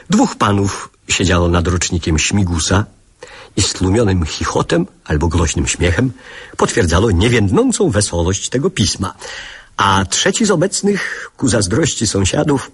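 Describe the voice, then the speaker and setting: An actor playing a man recalling his childhood, narrating a radio drama in a measured, literary way with quiet irony. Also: deep voice, husky, full character voice.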